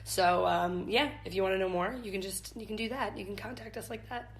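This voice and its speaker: A woman's voice: medium pitch, fairly even intonation, fairly quick. A young adult woman answering casually as an interview guest, bubbly and peppering her talk with "like". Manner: bubbly, says "like" often. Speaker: interview guest, activities staff member. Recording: clear background noise and room ambience.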